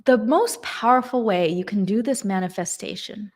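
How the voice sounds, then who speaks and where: A woman giving a slow, emphatic motivational talk on manifestation and gratitude. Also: medium pitch, a little mellow. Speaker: manifestation coach.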